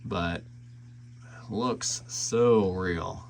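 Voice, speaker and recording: A man with a fairly low voice and a strong vocal fry talks through a collectible in a casual review style. His delivery is informal and a little hesitant, trailing off and returning to points as he goes. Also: very slow, darkish tone, a little hiss or hum.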